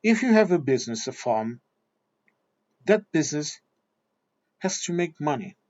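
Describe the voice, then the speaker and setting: A man's voice: a little high, big pitch swings, measured pace, a little mellow, dry recording, highs cut off. A man with a Portuguese accent, Portuguese his first language, delivering a blunt, combative monologue straight at his viewers. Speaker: video commentator. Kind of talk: ranting monologue.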